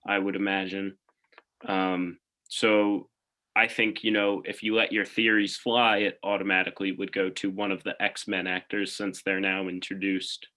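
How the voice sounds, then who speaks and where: A man with a low voice and a bright, forceful edge, co-hosting a podcast. He talks casually and thinks out loud, hedging as he goes. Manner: thinks aloud and hedges often. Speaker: podcast co-host. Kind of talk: casual chat.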